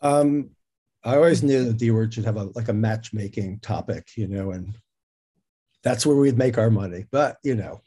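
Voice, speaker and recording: A man hosting a casual interview, speaking in a halting way as he thinks aloud. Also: on the low side, mellow, husky. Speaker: interview host. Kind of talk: casual hosted conversation.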